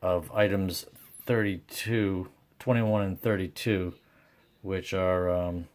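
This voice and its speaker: A man with a low, deep voice gives unscripted repair commentary. His intonation stays very flat. He speaks haltingly, working through his thoughts aloud in an informal, salty way. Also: a little hiss or hum. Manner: halting, thinking aloud, mildly profane. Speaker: host of a radio repair video.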